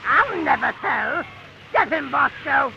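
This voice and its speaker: Very high, creaky, gloating supervillain. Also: male voice, big pitch swings, bright, forceful tone, full character voice. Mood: commanding. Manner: melodramatic, drawn-out taunting delivery. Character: hooded male supervillain, gloating, theatrical madman. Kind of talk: 1940s radio serial acting. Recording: dull old-time radio sound, faint noise.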